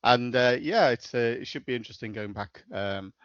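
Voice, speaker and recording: A man's voice: medium pitch, moderate pace, clean tone, dry recording, muffled recording. A middle-aged man with a Liverpool accent, a podcast guest talking in a level, matter-of-fact way with many hesitations. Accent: Liverpool (Scouse). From Liverpool, England. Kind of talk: casual interview chat.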